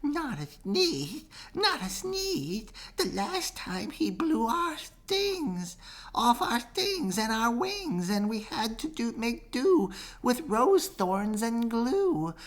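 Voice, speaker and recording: Woman doing worried little bee, high whiny drawn out, storybook. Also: very animated, slow pace. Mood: plaintive grumbling. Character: anxious, grumbling little storybook bees.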